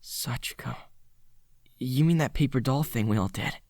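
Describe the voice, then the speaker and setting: A man's voice: mid-range voice, normal speed, darkish tone, natural voice. Earnest, flustered teenage schoolboy, played by a man. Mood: wary, puzzled. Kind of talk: dramatic video game dub acting. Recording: background music under the voice.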